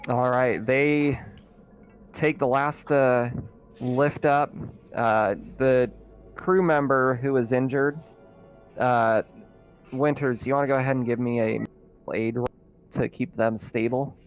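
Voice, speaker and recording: A man running a tabletop roleplaying game as game master, narrating in a conversational, halting way. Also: mid-range voice, fairly even intonation. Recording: music playing behind the voice. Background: background music.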